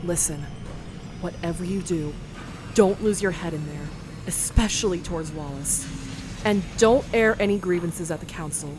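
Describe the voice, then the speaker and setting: A woman playing a guarded, earnest tech specialist in a sci-fi audio drama, measured and firm. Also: fairly low pitch, noisy background, close to an everyday voice. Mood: guarded warning. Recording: music under the voice.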